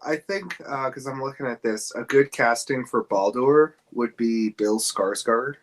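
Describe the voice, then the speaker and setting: A man's voice: mid-range voice, unhurried. A man hosting a podcast in casual conversation, posing questions and feeling out his phrasing. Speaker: podcast host.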